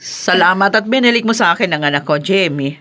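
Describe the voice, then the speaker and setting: A woman's voice: lowish voice, lively intonation, fairly quick, sharp tone. An actress playing an affectionate, emotional older grandmother in a radio drama, gushing and doting with a Filipino accent. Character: affectionate emotional grandmother welcoming her youngest son.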